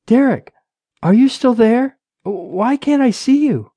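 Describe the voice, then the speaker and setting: A man's voice: very high-pitched, expressive, takes it slowly, warm tone, husky, no echo, pitched above the performer's own voice, heavily acted. A man voicing a worried, pleading wife on the phone in an audiobook, stammering with anxiety.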